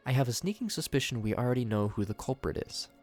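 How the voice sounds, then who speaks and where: A man's voice: mid-range voice, animated, fast talker, faint music behind. A man hosting a video, reading scripted narration mixed with his own commentary. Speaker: video host reviewing horror shorts.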